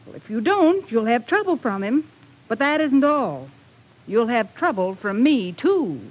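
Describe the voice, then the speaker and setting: An actress playing a spirited, bossy middle-aged housewife with an emphatic manner in an old radio drama. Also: female voice, fairly high pitch, very animated, measured pace, noisy background. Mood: indignant. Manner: emphatic, sharp-tongued. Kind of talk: 1940s radio drama acting.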